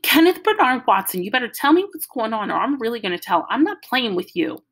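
A woman doing a shrill, bossy little girl. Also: big pitch swings, a little fast, fairly bright tone, husky, exaggerated voice. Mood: whiny scolding. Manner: shrill, whiny and scolding.

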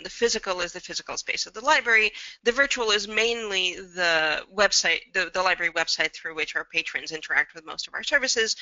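Bright, creaky-voiced woman giving a presentation. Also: fairly low pitch, no echo, highs cut off. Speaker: academic librarian presenting. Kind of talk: slide presentation, semi-scripted talk.

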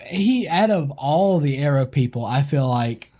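Podcast co-host, man, thirties, warm mellow tone, laid-back banter. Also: fairly high pitch, lively intonation, unhurried. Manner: chatty, laughs easily, riffs on the other host. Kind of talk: casual podcast chat. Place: small studio with city-skyline backdrop.

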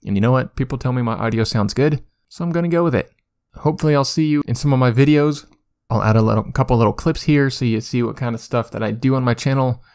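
Casual male YouTuber for screen-recorded tutorial narration; mid-pitched, informal, self-deprecating. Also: fast talker, darkish tone, clean tone.

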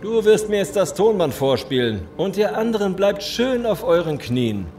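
A sneering, high-pitched gang leader. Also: male voice, big pitch swings, moderate pace, darkish tone. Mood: commanding. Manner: sneering, mock-courteous threats. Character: menacing, condescending male leader of survivor gang. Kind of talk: German audio drama acting.

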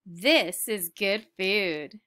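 A woman voicing a hungry, food-loving little female puppy in a storybook, with expressive, emphatic delivery. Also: medium pitch, very slow, clear, resonant tone, full character voice. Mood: eager.

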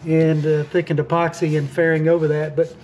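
High-voiced man narrating boat work. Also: brisk, a little hiss or hum, poor audio. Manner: relaxed, plainspoken, frequent "uh" fillers and restarts. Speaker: boat refit vlogger. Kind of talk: casual how-to narration while working. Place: outdoors on a sailboat deck.

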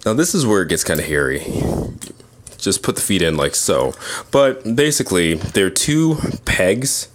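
Very low-pitched man giving casual demo. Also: big pitch swings, measured pace. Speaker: toy reviewer. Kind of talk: casual hands-on product demonstration. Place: indoors at a tabletop display. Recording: clear background noise, handling clicks.

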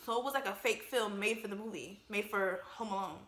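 A woman's voice: medium pitch, a little fast, fairly bright tone, roomy sound. A woman in her twenties giving casual, laughing commentary on a film, full of slangy asides, speaking into a wired earphone mic in a bare room. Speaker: YouTuber reacting to a film.

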